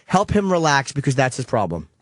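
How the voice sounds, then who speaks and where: A man's voice: medium pitch, very animated, fairly quick, dry recording, clean studio sound. A man hosting a call-in talk show, bantering crudely with a guest and callers.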